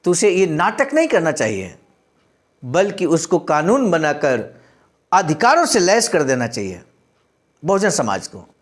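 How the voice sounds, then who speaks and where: Earnest, high-pitched man addressing listeners. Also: huge pitch swings, measured pace, long pauses. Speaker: speaker reading and commenting on a historical speech. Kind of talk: read-aloud speech with earnest explanatory commentary.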